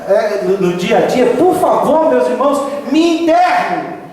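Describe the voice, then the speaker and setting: A man's voice: very high voice, sing-song, unhurried, a little mellow, a little hiss or hum. A man, preaching a sermon emphatically, drawing out key phrases and rising to exclamations. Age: 50s. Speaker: pastor. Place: church sanctuary. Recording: echoey hall from a distant mic.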